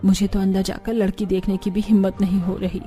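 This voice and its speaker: A woman plays a bossy, dismissive wife and mother-in-law in a family cartoon. Her tone is dark and mellow at a medium pitch, over music. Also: little pitch movement, brisk, lightly acted. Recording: background music under the voice.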